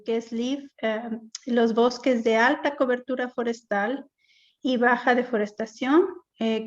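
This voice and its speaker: A woman hosting a webinar over a video call, welcoming participants and giving instructions in a halting way. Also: on the high side. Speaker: webinar host and moderator. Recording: dull, lo-fi online call audio.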